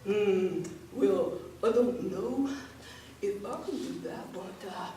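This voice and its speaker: A woman voicing a slow, stammering male mole who talks through his long nose. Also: mid-range voice, warm tone, a little hiss or hum, voice pushed down, natural voice. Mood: puzzled. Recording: echoey room from far off.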